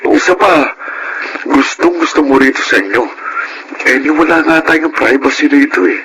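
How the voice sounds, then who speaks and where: An actor playing an earnest, exasperated Filipino husband of thirty in a radio drama. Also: male voice, fairly high pitch, moderate pace, background noise, natural voice. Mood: exasperated, scolding. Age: 30s. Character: frustrated thirty-year-old husband wanting independence from in-laws.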